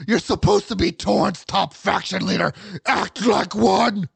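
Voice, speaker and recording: A man's voice: sits high, measured pace, vocal fry, slightly rough, dry recording, pitched above the performer's own voice, exaggerated voice. A man voicing a vengeful, menacing young antihero with demonic rage, taunting and commanding in a hobby audio drama. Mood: furious, scornful.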